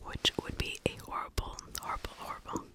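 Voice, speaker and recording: A woman hosting an ASMR video, telling a story in a breathy, unvoiced whisper close to the microphone, lingering on and repeating words. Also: brisk. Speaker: ASMR video host, true crime narrator.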